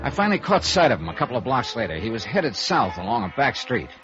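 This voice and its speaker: A man acting a wry private investigator in vintage radio noir. The mid-pitched delivery is clipped and probing, rising and falling freely. The recording is dull and old, with music in the broadcast. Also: big pitch swings, fast talker, natural voice. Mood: dry, matter-of-fact. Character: hard-boiled, wry male freelance insurance investigator. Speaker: radio drama lead actor, narrator. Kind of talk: 1950s radio noir acting, first-person narration.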